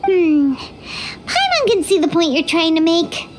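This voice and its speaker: Casting: a childlike fairy sidekick in a game, very high, slow-paced, squeaky, excitable delivery. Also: female voice, heavily acted. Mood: confused. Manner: speaks of herself in the third person, exclamatory. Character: tiny childlike floating fairy companion, worried and feisty. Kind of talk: video game voice acting. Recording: loud music behind the voice.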